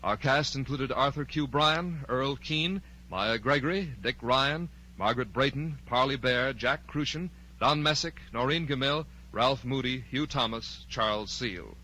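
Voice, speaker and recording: A man's voice: mid-range voice, measured pace, few pauses, pushed and bright, rough recording. A man with an American accent reading formal, polished announcements in the style of 1940s network radio. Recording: 1940s radio broadcast recording.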